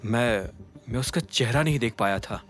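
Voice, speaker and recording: A man's voice: mid-range voice, lively intonation, normal speed, natural voice. Male narrator as grieving young hero, guarded, subdued, earnest, drama dialogue, light music behind. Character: grieving, guarded young man seeking truth. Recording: music under the voice.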